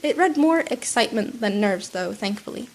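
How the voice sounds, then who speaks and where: A woman's voice: mid-range voice, animated, normal speed. A woman with a cold, slightly sniffly, reading a fan fiction story aloud at home with small restarts. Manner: soft, with small restarts and fillers. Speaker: podfic reader.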